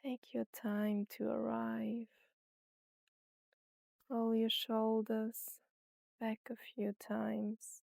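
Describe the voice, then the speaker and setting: A woman narrating a guided meditation and story in an unhurried, soothing way, with long pauses between phrases. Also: a little high, little pitch movement, vocal fry, darkish tone, dry recording, clean studio sound.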